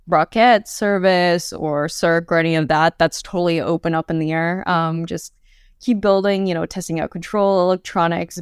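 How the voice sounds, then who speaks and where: A young adult woman as a guest on a podcast interview, talking casually with lots of fillers and quick laughs. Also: fairly low pitch, clean tone, dry recording, studio quality. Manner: chatty, many fillers, laughs often. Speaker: guest, quantum hardware startup.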